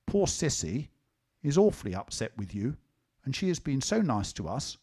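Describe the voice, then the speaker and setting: A man's voice: medium pitch, very animated, moderate pace, mellow, breathy edge. A man playing an earnest little boy. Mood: earnest, scolding. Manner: earnest, reasoning, a bit stubborn.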